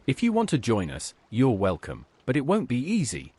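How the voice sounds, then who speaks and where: A man voicing a calm, courteous adventurer in a fantasy video game. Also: medium pitch, moderate pace, husky. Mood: resolute. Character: calm, courteous adventurer recruiting dragon slayers. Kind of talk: scripted video game dialogue.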